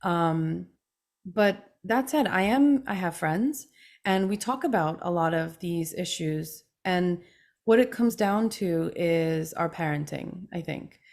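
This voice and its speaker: Low-voiced Trinidad-born woman reading her memoir. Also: expressive, slow pace, gravelly, darkish tone. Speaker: author reading and answering. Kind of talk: reading from her memoir, then panel answers.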